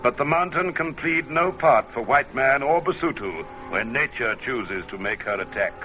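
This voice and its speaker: A man narrating an old-time radio adventure from a script in a measured, theatrical manner. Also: medium pitch, animated, sharp tone, a little hiss or hum. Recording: dull vintage radio recording. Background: music.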